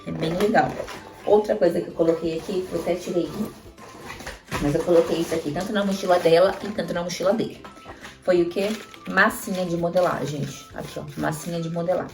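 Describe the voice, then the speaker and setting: Chatty Brazilian mom in echoey room. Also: female voice, fairly low pitch, fairly even intonation, a little fast, vocal fry. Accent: Brazilian Portuguese. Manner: chatty, addresses viewers directly with frequent tag questions. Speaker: mother vlogger. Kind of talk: casual show-and-tell tips. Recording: echoey room, mic at a distance, faint music.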